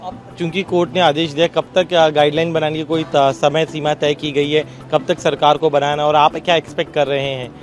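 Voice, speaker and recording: A man's voice: on the high side, level tone, normal speed, few pauses, faint music behind. A male news reporter interviewing outdoors, pressing his guest to explain things in plain language.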